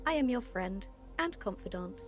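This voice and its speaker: A woman playing an unruffled female artificial intelligence in an audio drama, with measured, literal, stilted formal phrasing and an oddly friendly manner. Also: medium pitch, lively intonation, soft background music, lightly acted. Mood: serene, formal. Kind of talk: improvised audio drama acting.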